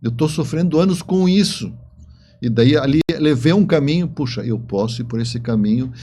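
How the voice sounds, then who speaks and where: A man's voice: medium pitch, animated, normal speed, dark tone, slight background noise. A man speaking as an interview guest, telling stories conversationally and repeating phrases for emphasis. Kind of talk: conversational storytelling.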